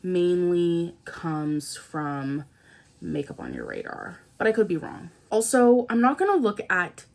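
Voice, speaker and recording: A woman's voice: on the low side, very animated, measured pace. A young woman from Canada, a beauty vlogger, chatting casually about new products, a little nasal and congested from a cold, rambling with lots of fillers. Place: indoors at home, seated in a chair.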